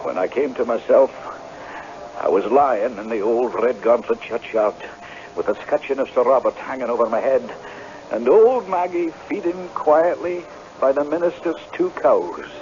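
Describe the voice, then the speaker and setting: An actor playing a grave storyteller recounting an uncanny adventure in measured, literary radio narration. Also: male voice, mid-range voice, expressive, darkish tone, a little hiss or hum, lightly acted. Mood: troubled, reflective. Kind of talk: dramatic first-person radio narration. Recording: dull vintage radio broadcast, music behind.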